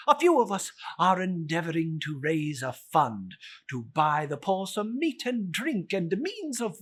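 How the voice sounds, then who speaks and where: A portly, jovial Victorian charity collector acted by a man in a storybook reading. He is effusively polite and hesitant, with a lively, swooping pitch and a slightly rough, breathy tone. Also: exaggerated voice. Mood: pleading.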